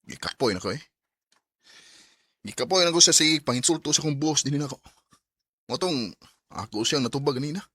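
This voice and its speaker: An actor with a Cebuano Filipino accent playing a proud, wounded young boyfriend in a radio drama. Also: male voice, fairly high pitch, lively intonation, normal speed, long pauses, pushed and bright, slightly rough, dry recording, clean studio sound, full character voice. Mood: weary.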